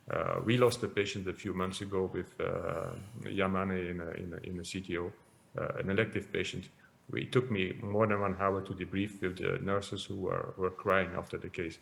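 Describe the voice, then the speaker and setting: Low gravelly Belgian-born man lecturing. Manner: hesitant, many repeated words and fillers. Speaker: interventional cardiologist lecturer. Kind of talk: conference lecture.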